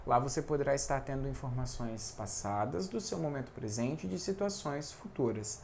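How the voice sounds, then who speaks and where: Brazilian male tarot reader with a creaky, gravelly voice, chatty and informal, faint noise underneath. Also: mid-range voice, expressive, normal speed, darkish tone. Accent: Brazilian Portuguese. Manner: chatty, with frequent tag questions. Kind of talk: casual tarot reading vlog.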